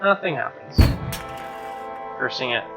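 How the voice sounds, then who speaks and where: A man plays through a visual novel, narrating in a mid-pitched voice and breaking into incredulous, sweary asides. The sound is slightly dull, with guitar music clearly behind him. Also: animated, unhurried. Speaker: let's player reading a visual novel aloud. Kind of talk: story narration with casual sweary reactions.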